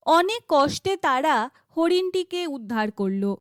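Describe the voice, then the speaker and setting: Casting: female storybook narrator, high pitch, slow scripted fairytale delivery. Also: very animated, dry recording, clean studio sound.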